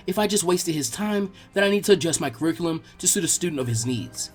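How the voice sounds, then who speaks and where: A man's voice: on the high side, lively intonation, fast, faint music behind, close to an everyday voice. A man voicing an earnest, bookish young girl tutor who explains things kindly and teasingly in an audiobook-style story. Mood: earnest explaining.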